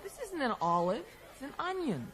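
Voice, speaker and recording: A woman's voice: sits high, sing-song, normal speed, a bit bright. An actress playing a witty, teasing woman in a radio drama, wry and playful. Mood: speculative, teasing. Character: witty, teasing American woman speculating on a mystery. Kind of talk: 1980s radio drama acting.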